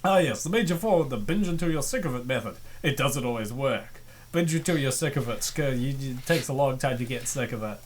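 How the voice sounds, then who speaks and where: A man with a lively, widely swinging voice narrates a comic dub. He breaks in with sarcastic, exclamatory reactions as a casual co-host. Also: mid-range voice, fairly quick.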